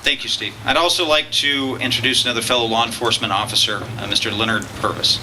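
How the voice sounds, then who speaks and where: A man's voice: mid-range voice, steady tone, quick pace, over a noisy crowd. A man emceeing a political rally, earnest and fond of rhetorical questions, introducing the speakers. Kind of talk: public speech at a political rally. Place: outdoor campaign rally. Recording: outdoor rally with clear background noise.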